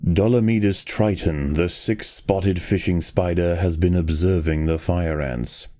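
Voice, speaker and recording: A man playing a solemn nature documentary narrator in a game, with measured, dramatic scripted delivery. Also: low voice, warm tone. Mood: grave, dramatic. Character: grave male nature documentary narrator of insect battles.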